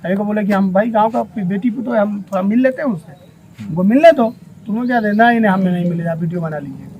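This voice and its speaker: A man with a distinctly high voice and a Bihari accent answers questions in an unscripted interview. He is emphatic, often saying a phrase again to drive it home. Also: quick pace, lo-fi sound. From Bihar, India. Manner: emphatic, repeats phrases for stress. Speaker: local interviewee.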